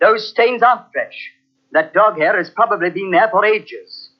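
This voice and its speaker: A man's voice: high-pitched, moderate pace. An actor playing a secretive male host in an old radio drama, who speaks in a reassuring, evasive way. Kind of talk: 1940s radio acting. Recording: dull old radio transcription.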